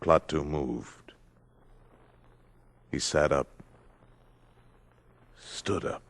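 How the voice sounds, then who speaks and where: A man narrating a 1950s radio drama in a deliberate, portentous, scripted dramatic style. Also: extremely low pitch, no room sound, full character voice. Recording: 1950s radio broadcast, loud orchestral music.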